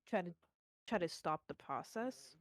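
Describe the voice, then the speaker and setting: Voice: medium pitch, expressive, measured pace. A player in a tabletop roleplaying game, casually talking through plans with the group.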